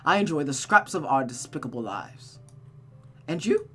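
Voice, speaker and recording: A woman's voice: low voice, big pitch swings, moderate pace, fairly bright tone, husky, faint music behind, heavily acted. A woman voicing a proud, tormented cursed man in a visual novel, bitter and sneering in a dramatic delivery. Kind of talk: visual novel character read-aloud.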